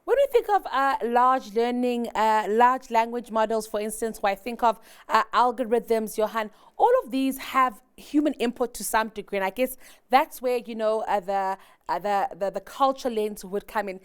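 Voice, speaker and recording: A woman's voice: fairly high pitch, normal speed, clear, resonant tone. A woman interviewing a guest on a business TV channel, framing long thoughtful questions with some hesitation.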